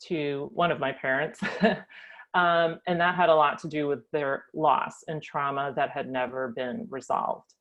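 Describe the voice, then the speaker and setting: An American woman telling her family story as a guest, thoughtful with frequent pauses and ums. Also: low-pitched. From United States. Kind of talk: reflective personal storytelling.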